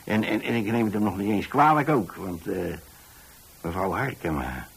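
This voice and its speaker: Husky, low-voiced rambling old pensioner. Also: male voice, lively intonation, normal speed, full character voice. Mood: reflective. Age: older. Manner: hesitant, repetitive, folksy. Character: rambling, plain-spoken old pensioner who takes in lodgers. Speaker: narrator of a radio play. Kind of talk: first-person radio drama storytelling.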